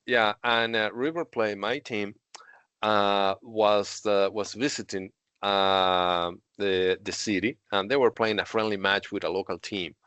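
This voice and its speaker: A man's voice: lowish voice, fairly even intonation, a little slow, fairly bright tone, clear, resonant tone, no room sound. A middle-aged man from Argentina, a podcast guest who tells stories in casual chat and laughs often. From Argentina, Patagonia. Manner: laughs often while telling stories, many fillers.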